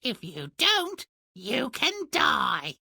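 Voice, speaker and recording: A man's voice: extremely high pitch, wildly swooping pitch, extremely slow, vocal fry, pushed and bright, husky, no room sound, studio quality, exaggerated voice. An actor voicing a haughty, tyrannical woman who fancies herself a goddess in a fairy-tale video game. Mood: imperious, menacing.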